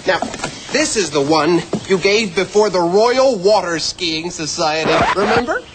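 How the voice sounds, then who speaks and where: A smug fawning courtier. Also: female voice, lowish voice, animated, measured pace, very noisy.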